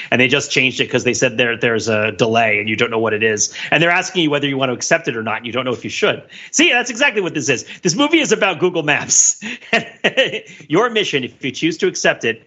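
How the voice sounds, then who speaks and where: A man's voice: mid-range voice, sharp tone, muffled recording. A man co-hosting a podcast, chatting casually about film in a playful, riffing way. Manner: laughs often while talking, playful riffing. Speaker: podcast co-host.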